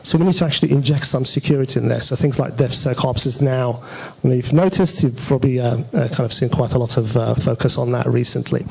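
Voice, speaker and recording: A man's voice: mid-range voice, quick pace, gravelly, breathy edge, poor audio. A man giving an informal technical presentation as a conference speaker, talking in a conversational, hesitant way. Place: auditorium stage at a meetup.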